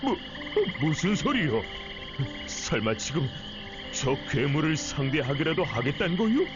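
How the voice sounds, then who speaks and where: An actor playing a flustered, stammering, defeatist ship captain with old-fashioned speech in a Korean video game. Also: male voice, fairly high pitch, very animated, a little slow. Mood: incredulous. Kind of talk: exaggerated Korean game voice acting. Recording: muffled game audio with music.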